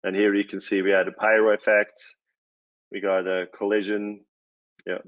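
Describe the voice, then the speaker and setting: A man from Switzerland in his forties giving a conference talk, relaxed, with many fillers and restarts. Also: fairly low pitch, normal speed, dull audio. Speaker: conference speaker, company CEO. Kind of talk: presentation talk.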